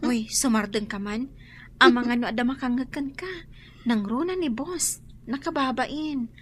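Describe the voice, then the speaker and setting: A woman's voice: fairly high pitch, a little slow, slight background noise, lightly acted. A woman with a Filipino accent playing a shy, easily embarrassed young bar waitress in a radio drama. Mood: embarrassed protest. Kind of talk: Ilocano radio drama acting. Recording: radio drama with music underneath.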